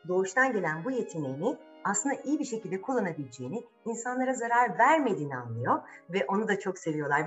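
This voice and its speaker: Woman, children's storyteller, very expressive intonation, dramatic, conversational, repeats for suspense, soft music behind. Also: medium pitch. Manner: dramatic, rhetorical questions, repeats phrases for suspense. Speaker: children's storyteller, channel host. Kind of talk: improvised fairy-tale retelling for children.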